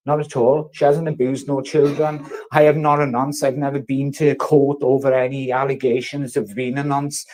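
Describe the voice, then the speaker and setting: A man's voice: mid-range voice, rough recording. A man in his thirties, from South Wales, ranting angrily to camera with constant swearing. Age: 30s. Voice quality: swears heavily, loud and confrontational. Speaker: vlogger. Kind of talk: angry rant to camera.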